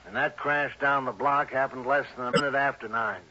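An American actor playing a tough, brusque, impatient police sergeant in a 1960s radio drama. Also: male voice, medium pitch, brisk, bright, forceful tone. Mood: brisk, impatient. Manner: brusque, clipped, commanding. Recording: dull vintage radio recording.

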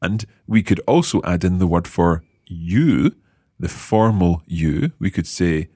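A man with a low, slightly husky voice leads a language lesson. He talks with a lively rise and fall, repeating phrases slowly so they sink in. The sound is dry and close. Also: no echo. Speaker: language teacher and host. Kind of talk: explaining and quizzing co-hosts.

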